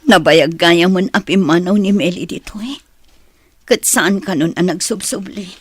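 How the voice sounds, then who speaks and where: Sorrowful Ilocano mother, voiced by a woman, radio drama, subdued and plaintive, husky tone, very dry close sound. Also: fairly low pitch, normal speed, no room sound. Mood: regretful, sad. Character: sorrowful, regretful mother longing for her child.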